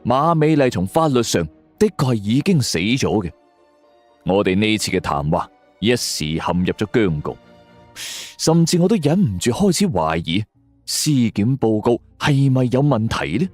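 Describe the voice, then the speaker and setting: A man's voice: lowish voice, big pitch swings, normal speed, a little mellow. A man with a Hong Kong Cantonese accent narrating a detective story dramatically in the first person. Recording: background music under voice. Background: music.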